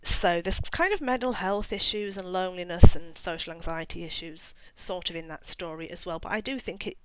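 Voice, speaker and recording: A woman's voice: on the low side, a little fast, smooth, no room sound, low-quality recording. A middle-aged woman talking casually as an author about her own work, in a chatty, rambling way with self-mocking asides. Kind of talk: casual talk to camera.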